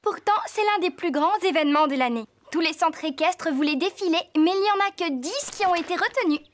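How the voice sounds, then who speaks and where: A woman's voice: very high-pitched, lively intonation, a little fast. A woman voicing a sensible, level-headed girl team leader in a French cartoon dub. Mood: composed. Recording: music behind.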